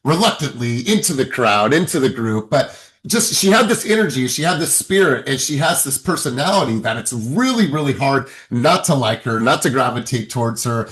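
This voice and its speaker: An upbeat man leads a group coaching session over a video call. He pumps up his listeners with repeated, rallying phrases. His voice sits in an ordinary middle pitch. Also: animated, moderate pace, a bit bright. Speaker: sales coach and session host.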